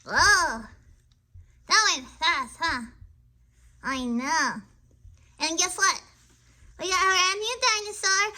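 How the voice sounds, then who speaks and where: Squeaky little girl puppet, extremely high, slow, childish, eager, children's puppet show. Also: pushed and bright, exaggerated voice. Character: excitable little girl puppet, eager dinosaur club president.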